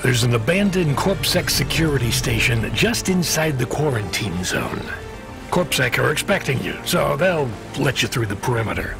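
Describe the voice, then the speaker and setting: A world-weary game dispatcher, an avuncular man with a creaky, gravelly voice at middle pitch. He hedges and hesitates in a folksy, apologetic way. Soft music sits behind him. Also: expressive, normal speed. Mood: evasive, businesslike. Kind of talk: video game voice acting.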